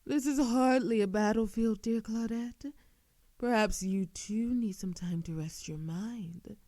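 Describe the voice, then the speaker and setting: A woman playing a composed, wryly teasing old-world vampire in an audio roleplay, speaking in a soft, measured, knowing way. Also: fairly high pitch, no echo, natural voice. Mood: calm, teasing. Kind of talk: scripted ASMR audio roleplay acting.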